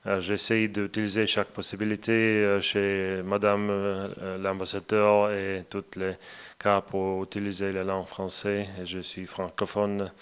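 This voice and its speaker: Slow, halting Latvian-accented man. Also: sits low, completely flat delivery, a bit bright, clear, resonant tone. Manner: halting, searching for words, many pauses. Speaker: interview guest, parliament president. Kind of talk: radio interview.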